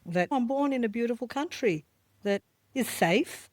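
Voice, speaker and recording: A woman's voice: a little high, expressive, normal speed, darkish tone, no room sound. A woman co-hosting a podcast in casual chat, passionate and laughing often. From Australia. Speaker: podcast co-host.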